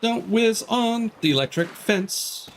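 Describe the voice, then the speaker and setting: A man's voice: high-pitched, expressive, takes it slowly, slight background noise. A man streaming a video game with casual commentary, wry and often muttering to himself as he plays. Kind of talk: casual gameplay commentary, reading dialogue aloud. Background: faint game music.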